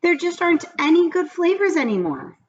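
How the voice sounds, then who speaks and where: A high-pitched woman's take on a little schoolgirl from a storybook, plaintive and puzzled. The delivery swings up and down emphatically, on a muffled recording. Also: big pitch swings, fairly quick, a little hiss or hum, exaggerated voice. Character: sweet, unhappy young schoolgirl, plaintive and puzzled. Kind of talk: children's storybook read-aloud character.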